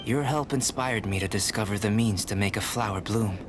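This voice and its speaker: An actor playing a calm, soft-spoken young alchemist who is an artificial being, speaking in a measured, reflective way in a game cutscene. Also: male voice, on the low side, background noise, lightly acted. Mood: earnest. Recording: game soundtrack music behind.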